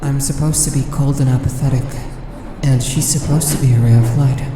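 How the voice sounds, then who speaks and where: A woman's voice: extremely low pitch, steady tone, brisk, music in the background. A woman playing an aloof, weary teenage boy in a fan audio drama, subdued and apathetic. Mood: subdued, resigned. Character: aloof, weary teenage boy, quietly devoted twin brother.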